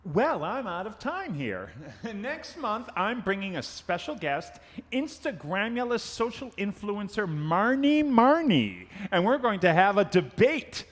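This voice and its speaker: A man's voice: high-pitched, very animated, unhurried, exaggerated voice. A man playing a chatty celebrity-gossip expert fielding questions in a live radio comedy sketch. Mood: breezy, explanatory. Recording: reverberant hall recording.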